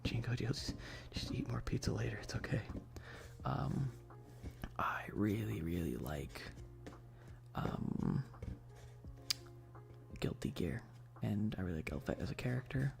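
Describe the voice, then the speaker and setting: A man chatting casually on an ASMR game stream in a soft voice that often slips toward a whisper, laid-back and sweary with slangy, hesitant enthusiasm. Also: lowish voice, big pitch swings, unhurried, gravelly, pauses often. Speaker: streamer hosting a live stream. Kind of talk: casual rambling ASMR stream chat about games.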